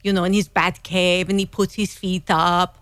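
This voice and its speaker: A woman's voice: fairly low pitch, flat delivery, moderate pace, a bit bright, no room sound, close to an everyday voice. A woman voicing a theatrical vampire from a castle, drawling in a thick put-on Transylvanian accent. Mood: haughty. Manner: drawling, grand, mock-menacing. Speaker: singer in a comedy band.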